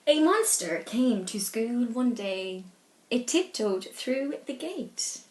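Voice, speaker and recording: High-voiced woman reading slowly, echoey room. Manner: deliberate, animated delivery for young children. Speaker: storyteller reading aloud to children. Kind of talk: expressive picture-book read-aloud. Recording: echoey, roomy sound.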